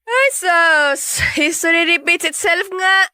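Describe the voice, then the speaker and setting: Sassy sister, high sharp voice. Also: female voice, slow pace, no room sound, clean studio sound, heavily acted. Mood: scolding. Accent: Filipino. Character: sassy, blunt, scolding young sister. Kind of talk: radio drama acting.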